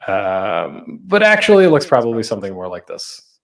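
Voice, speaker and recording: A man's voice: on the low side, wildly swooping pitch, normal speed, creaky, far from the mic. A man giving a casual, informal presentation as a panel host, with rhetorical questions and offhand self-deprecating asides.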